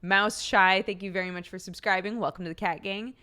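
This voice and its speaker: A woman's voice: medium pitch, steady tone, fairly quick, sharp tone, clear, resonant tone. A woman streamer with a slightly phlegmy throat, chatting casually, laughing often and thanking subscribers one by one. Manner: giggly, laughs often between lines.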